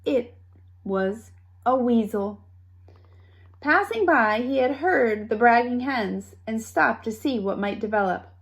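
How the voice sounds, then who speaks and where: A woman reading a children's story aloud with expressive narration and dramatic, deliberate phrasing. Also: a little high. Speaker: storybook reader.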